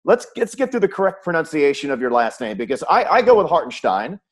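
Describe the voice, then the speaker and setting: A man's voice: a little high, sing-song, fast. An American man working as a sports show host, asking questions in a conversational interview style.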